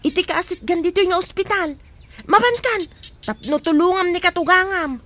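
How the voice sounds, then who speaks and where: A woman's voice: very high voice, normal speed, sharp tone. An actress playing a helpful young neighbor woman, eager to help. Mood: explaining.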